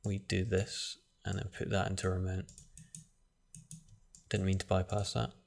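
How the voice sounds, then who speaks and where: A man giving a relaxed screen-recorded software tutorial, thinking aloud with frequent restarts. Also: low-pitched, measured pace, gravelly.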